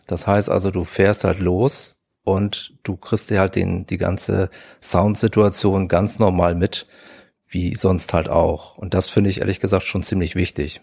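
A man from Bremen, a podcast guest, calmly talking about his motorbike. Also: on the low side, vocal fry, husky. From Bremen, Germany. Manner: calm, matter-of-fact. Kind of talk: casual interview.

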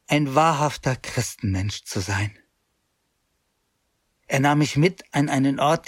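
A grown man looking back on the guilt of his youth, telling it in a measured, pious way. His voice is husky and sits at a middle pitch. It sounds close and dry, with no room around it. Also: no echo. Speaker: first-person narrator. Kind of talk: scripted radio drama narration.

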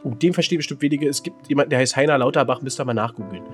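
A man's voice: medium pitch, rapid-fire. A man co-hosting a podcast in casual chat. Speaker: podcast co-host. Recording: music playing under the voice.

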